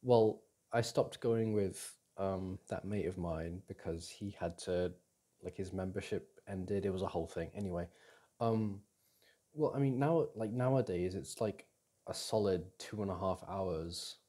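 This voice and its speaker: A young man playing a caring, reassuring boyfriend in an intimate ASMR roleplay, speaking gently and haltingly with sighs and restarts. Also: deep voice. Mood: relaxed, reflective. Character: caring, reassuring boyfriend comforting his upset partner. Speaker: audio roleplay performer.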